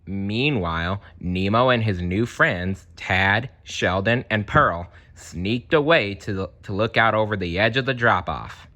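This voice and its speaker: A man reading a children's storybook aloud in an animated, chatty way, slipping in casual asides. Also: on the low side, unhurried, few pauses. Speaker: storybook reader.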